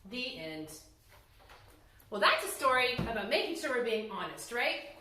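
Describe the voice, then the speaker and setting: A woman narrating a storybook tale as a children's story time host, very expressive, with playful asides to her listeners. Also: on the high side, normal speed, a little hiss or hum. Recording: very echoey room sound.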